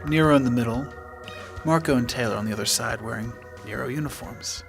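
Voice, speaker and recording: A man with a medium-pitched voice sets the scene for a tabletop game. His delivery is casual, a bit hesitant and understated, with faint music behind him. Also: fairly quick, vocal fry.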